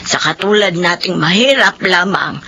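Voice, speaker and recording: A woman's voice: fairly low pitch, moderate pace, bright, forceful tone. A woman playing a crafty, querulous old Filipino grandmother in a radio drama, with a Filipino accent. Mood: grasping. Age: older. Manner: querulous, exclaiming. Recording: radio drama with faint noise.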